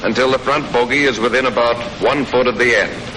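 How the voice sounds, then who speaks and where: An old, noisy film soundtrack carries an American man's mid-pitched voice over hiss and vehicle noise. He narrates like a 1940s military instructional reel, laying out steps in a matter-of-fact, instructive way. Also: fairly even intonation, a little fast.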